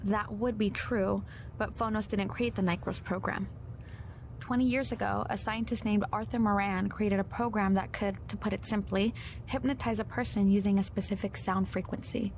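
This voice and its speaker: An actress playing a composed, precise intelligence operative with a formal, factual manner in an audio drama. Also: female voice, mid-range voice, fairly even intonation, normal speed, lightly acted. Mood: composed, businesslike. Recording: clearly noisy ambience behind.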